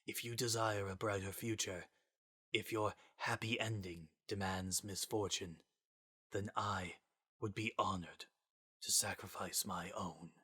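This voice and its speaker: A man voicing a regretful, resigned scientist, speaking formally and quietly in measured, grave phrases for a story reading. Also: lowish voice, fairly even intonation, husky, heavily acted. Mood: resigned, sorrowful. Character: solemn, regretful male scientist, formal and resigned, lost to the world.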